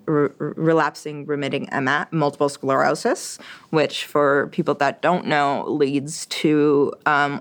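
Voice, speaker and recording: A young woman from Quebec whose first language is French, talking casually as an interview guest, with many fillers and restarts. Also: deep voice. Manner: chatty, frequent fillers and restarts.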